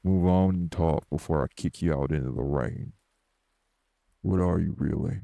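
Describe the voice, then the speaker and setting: A man playing a morose older wraith in an audio drama, blunt, folksy and scolding, with a husky, gravelly voice. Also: normal speed, dry recording. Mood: gruff, challenging. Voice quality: very gravelly, husky, dark low voice. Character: morose older male wraith, rural homebody, stern but hospitable. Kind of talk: dramatic audio roleplay acting.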